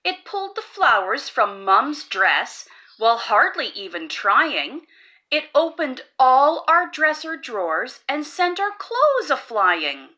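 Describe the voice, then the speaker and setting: A woman's voice: high-pitched, very animated, takes it slowly, bright, forceful tone. A woman hosting a children's read-aloud, reading a rhyming picture book in a playful, sing-song way. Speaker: storybook read-aloud host.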